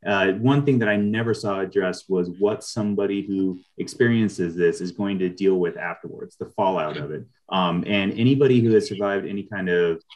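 A middle-aged man with a low voice talks as a guest on a video call. He explains his ideas conversationally and thoughtfully, in a measured manner. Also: a little mellow, low-quality recording. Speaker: writer, interview guest.

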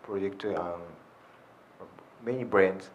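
A man introducing himself in halting English, searching for words, in a large lecture hall. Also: low-pitched, dull audio, slight background noise. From Japan. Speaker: audience member introducing himself.

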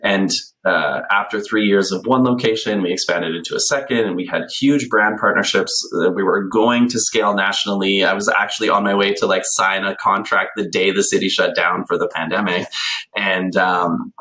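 A man in his thirties, a podcast guest telling his story in a relaxed, animated way, with plenty of ums, on a video call from a small bright room. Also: sits low, normal speed, poor audio. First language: English. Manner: animated, gesturing a lot, many ums. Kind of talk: casual conversational storytelling. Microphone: headphones on a video call.

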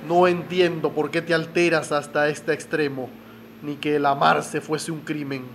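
A man's voice: a little high, little pitch movement, a little slow, faint music behind. A man playing a proud, stern middle-aged magnate in a melodramatic radio soap. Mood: defiant, exasperated. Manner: indignant, melodramatic. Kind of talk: radio soap opera acting.

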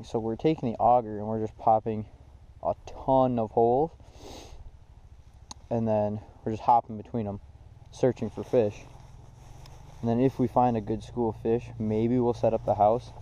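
A man's voice: fairly low pitch, mellow. A young man, casually narrating an ice fishing vlog outdoors on a frozen lake, chuckling often. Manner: laid-back, chuckling often. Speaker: fishing vlogger. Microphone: action camera. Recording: muffled outdoor camera audio.

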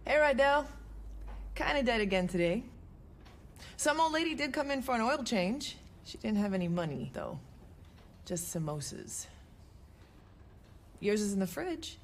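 A woman's voice: a little high, expressive, unhurried, pauses often. An actress playing a young American woman, a loyal street racer and garage worker who talks in casual slang. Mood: relaxed, friendly. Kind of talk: video game voice acting, casual speech. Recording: background ambience noise under the voice.

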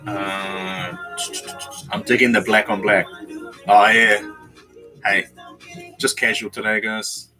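A young man in a small bedroom, casually chatting with his viewers on a livestream and reading out their comments, slangy and relaxed, calling people bro and man. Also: on the low side, slow pace, pauses often, music in the background, low-quality recording. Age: young adult. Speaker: YouTuber reading live chat.

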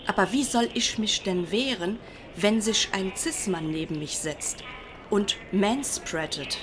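A woman's voice: mid-range voice, expressive, a little slow, background noise, natural voice. A woman playing a combative, self-righteous female traveller who is easily outraged, in a parody radio play. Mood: outraged, defiant.

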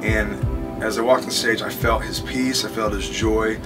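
A man's voice: on the low side, fairly even intonation, normal speed, over music. A man in his thirties losing his voice, talking earnestly into a phone on a tour bus after a show. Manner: earnest, rambling, with fillers and restarts. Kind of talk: casual livestream talk. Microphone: phone held at arm's length.

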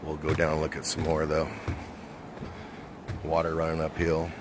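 Low-voiced joking man vlogging outdoors. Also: completely flat delivery, normal speed, noisy background. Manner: skeptical, joking, banters. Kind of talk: casual vlog chat. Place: outdoors at a tourist attraction.

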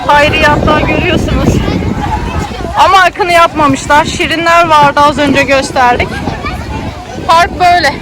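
A high-voiced woman with an Istanbul Turkish accent is vlogging outdoors. She chats casually, switching between addressing a child and her viewers, with a busy crowd audible around her. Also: steady tone, a little slow, long pauses, background noise. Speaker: vlogger parent filming a child. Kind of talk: casual vlog commentary. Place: outdoor children's theme park. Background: crowd chatter.

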